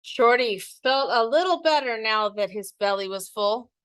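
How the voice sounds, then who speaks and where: A woman reading a children's picture book aloud in an expressive, teacherly storytelling way. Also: fairly high pitch, measured pace, clear, resonant tone, dry recording.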